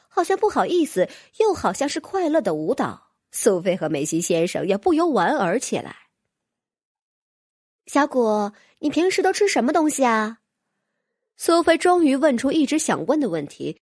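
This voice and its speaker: A woman's voice: sits high, no echo, studio quality. A woman reading scripted fantasy fiction expressively as an audiobook narrator.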